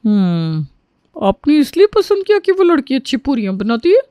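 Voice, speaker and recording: A woman's voice: high voice, sing-song, moderate pace, clean tone, no echo, lo-fi sound. Woman playing a blunt, skeptical cartoon housemaid. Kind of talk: cartoon story dialogue.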